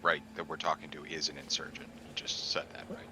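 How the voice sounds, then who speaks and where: A man's voice: very deep voice, little pitch movement, a little fast, bright, forceful tone, a little hiss or hum. A man playing a tabletop game, talking casually at the table in a blunt, insistent way.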